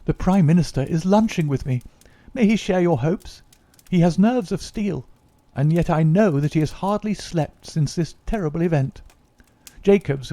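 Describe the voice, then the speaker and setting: Overwrought young secretary, pitched up and loud, played by a male reader, dry close take. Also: no echo, close to an everyday voice. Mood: excited. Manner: agitated, exclamatory.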